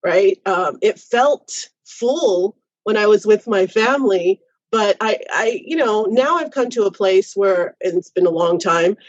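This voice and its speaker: A woman's voice: mid-range voice, lively intonation, unhurried, dry recording, muffled recording. A woman in her fifties, a college professor, telling her own story thoughtfully with frequent restarts and "you know.". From United States. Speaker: college professor, podcast guest. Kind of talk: reflective personal storytelling.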